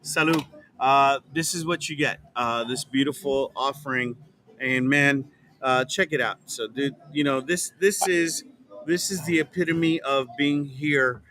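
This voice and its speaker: An easygoing man hosting a podcast in an outdoor alley at night, his voice sitting at an ordinary middle pitch. Faint background hum carries under his relaxed, filler-heavy talk. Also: measured pace. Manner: easygoing, enthusiastic, many fillers. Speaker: podcast host. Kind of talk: casual chat. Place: outdoor back alley behind a restaurant bar at night.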